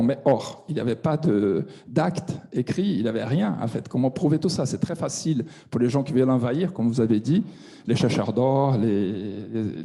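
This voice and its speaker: Middle-aged man, very dark tone, halting and full of restarts, mid pitch. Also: creaky. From Brazil. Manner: hesitant, many restarts and fillers. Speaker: audience member asking a question. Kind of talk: spontaneous question from the floor.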